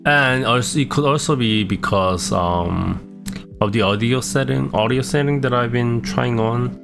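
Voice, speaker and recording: A young man with a non-native English accent and a low-pitched voice, talking about his streaming in a hesitant, self-deprecating way with many restarts. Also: a little slow, vocal fry. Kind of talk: casual reflective vlog. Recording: background guitar music.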